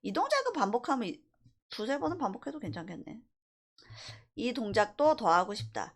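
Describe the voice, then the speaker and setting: A woman instructor giving a casual software tutorial, talking through each step conversationally and checking in with short rhetorical questions. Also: a little high, big pitch swings, a little fast. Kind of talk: casual screen-recorded software tutorial, thinking aloud while demonstrating.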